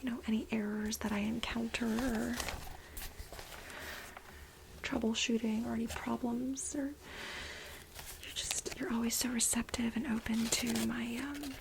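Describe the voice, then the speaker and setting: A woman playing an infatuated, jealous young research assistant to her boss in an ASMR role play, breathy, halting and gushing. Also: fairly high pitch, flat delivery, noisy background. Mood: nervous, grateful. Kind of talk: soft-spoken whispered ASMR role play.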